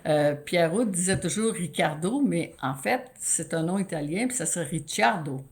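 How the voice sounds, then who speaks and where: A woman in her sixties with a Quebec French accent, a sports broadcaster telling stories in an animated interview, often restarting her sentences. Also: on the low side, normal speed. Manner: animated, storytelling with many restarts. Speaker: guest, sports play-by-play announcer. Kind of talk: conversational interview.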